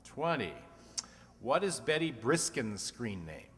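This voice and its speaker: A wry, teasing quiz show host played by a man quips his way through an old-time radio comedy program. His medium-pitched voice rings in a long hall reverb. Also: sing-song, takes it slowly, a bit bright, soft background music. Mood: brisk, wry. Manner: wry, quipping. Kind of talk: 1940s-50s comedy radio quiz re-enactment.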